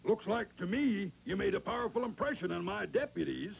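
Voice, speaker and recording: An American man voicing an easygoing bear forest ranger in charge in a 1960s cartoon, gravelly, drawling and unflappable. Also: high voice, lively intonation, brisk, a little hiss or hum, full character voice, poor audio. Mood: calm authority. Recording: old cartoon soundtrack with loud music.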